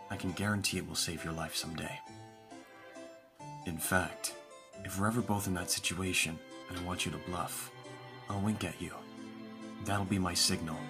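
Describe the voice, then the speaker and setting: A deep-voiced vampire master, acted by a man, composed and proud, guiding a servant with measured, formal words. It is an ASMR audio roleplay with music playing behind the voice.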